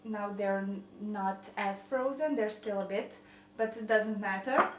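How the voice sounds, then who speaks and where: A woman's voice: mid-range voice, a little slow, roomy sound, a little hiss or hum. A young woman with a Croatian accent, chatting casually and rambling a little while she bakes at home, often laughing at herself. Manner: chatty, rambling, self-deprecating. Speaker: vlogger. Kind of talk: casual kitchen chat. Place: home kitchen.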